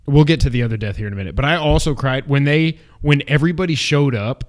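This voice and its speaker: A male co-host talks through a film in a loose, unscripted way at an average pitch. He rambles, breaks into excitable asides and corrects himself as he goes. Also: fast. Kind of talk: casual unscripted movie discussion.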